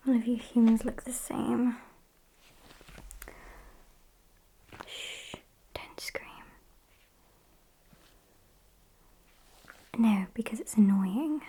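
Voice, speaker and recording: Slow-whispering sassy British vampire woman, acted out by a woman. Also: fairly high pitch, full character voice. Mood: condescending, bored. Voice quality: soft, breathy whisper. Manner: unhurried, hesitant pauses, mock-polite condescension. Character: sassy, smug, easygoing female vampire toying with prey. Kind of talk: whispered ASMR roleplay.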